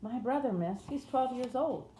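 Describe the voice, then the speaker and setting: A woman's voice: a little high, lively intonation, moderate pace, warm tone, voice pushed up, close to an everyday voice. A woman voicing a blunt, kindly young housemaid with a Yorkshire accent in a storybook reading. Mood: encouraging. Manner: plain-spoken, dialect thee and thou. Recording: roomy echo, faint noise.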